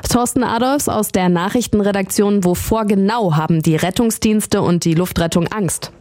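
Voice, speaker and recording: A woman newsreader reading the news in a measured, scripted way on the radio. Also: medium pitch, dry recording. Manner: even, measured delivery. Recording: music bed behind voice.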